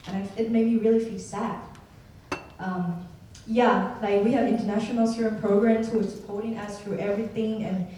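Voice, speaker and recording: A young woman from Myanmar with a Burmese accent, asking a halting question from the audience. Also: medium pitch, warm tone. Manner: hesitant, halting, many fillers. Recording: echoey hall from far off, faint noise.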